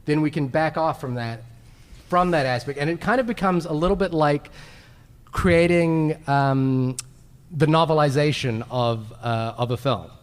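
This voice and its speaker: A man's voice: fairly high pitch, measured pace, clear, resonant tone. A man chatting casually and jokingly as a panelist on a live podcast stage. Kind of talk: casual panel chat before a live audience. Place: large convention hall stage. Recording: echoey hall, live on stage.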